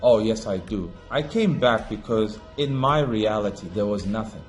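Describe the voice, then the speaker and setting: A fairly low-voiced man from Africa delivers a fervent, preachy monologue to his viewers. He hammers points with raised emphasis, then sinks into low, muttered asides. Soft music plays faintly behind him. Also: expressive, moderate pace. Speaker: online commentator. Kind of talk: impassioned monologue to camera.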